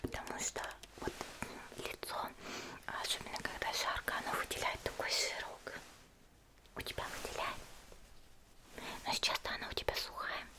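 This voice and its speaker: A woman playing a cheerful, caring young female warrior in an ASMR spa roleplay, whispering gently and chattily without hurry. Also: natural voice. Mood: gentle, explanatory. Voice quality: breathy unvoiced whisper. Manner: gentle, unhurried, chatty, soft hesitations.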